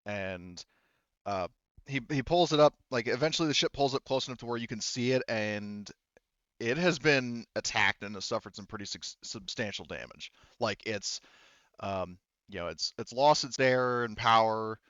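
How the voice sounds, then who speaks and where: A man running an online tabletop game, narrating casually in a halting, informal way with some swearing, over voice-chat audio. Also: mid-range voice, a bit bright, dry recording, dull audio. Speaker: game master.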